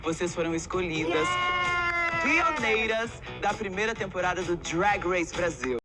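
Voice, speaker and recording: A man's voice: high voice, animated, normal speed. A man who hosts a show, giving an emphatic, rousing speech to contestants. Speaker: show host. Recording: clip with music behind, off-mic.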